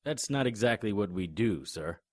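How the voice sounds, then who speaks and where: A man's voice: fairly low pitch, animated, normal speed, dry recording. A man narrating and acting the lead in a noir audio drama, dry and wry in manner.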